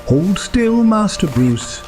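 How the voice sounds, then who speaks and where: An actor playing a devoted, proper older butler in an audio drama, formal and deferential. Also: male voice, high voice, big pitch swings, takes it slowly, a little mellow, faint music behind, full character voice. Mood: dry, deferential.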